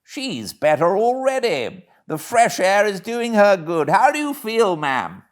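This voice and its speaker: Guy doing a posh kindly british gent in a storybook, raised pitch, hearty and fussing nicely. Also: big pitch swings, measured pace. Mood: kindly, reassuring. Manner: solicitous, jovial. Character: kindly, hearty upper-class gentleman motorist.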